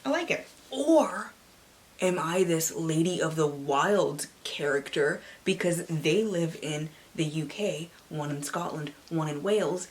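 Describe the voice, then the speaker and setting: A North American woman hosting a book vlog in a playful, theatrical manner, mixing casual chat with dramatic readings and asides. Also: low-pitched. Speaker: book channel host. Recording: clearly audible background hiss.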